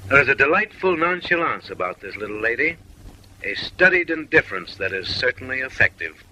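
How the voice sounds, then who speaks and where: Wry, sharp-voiced male comic narrator. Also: mid-range voice, very animated, fairly quick, slight background noise, low-quality recording. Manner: mock-refined, wry and suggestive, lecturing the ladies with teasing asides. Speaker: film narrator. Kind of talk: wisecracking comic voice-over narration.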